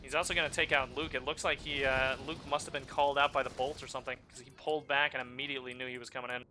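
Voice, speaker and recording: A man whose native language is German, giving excitable live play-by-play commentary on a video game match. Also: medium pitch, rapid-fire, a little hiss or hum. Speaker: esports commentator.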